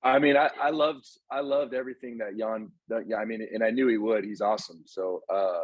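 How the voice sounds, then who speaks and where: A man with a low, husky voice asks his question in a loose, informal way. He repeats words as he gets started. The sound is dry and close, with no room around it. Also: steady tone, fairly quick, no echo, phone-quality sound. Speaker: podcast co-host. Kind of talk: casual interview question.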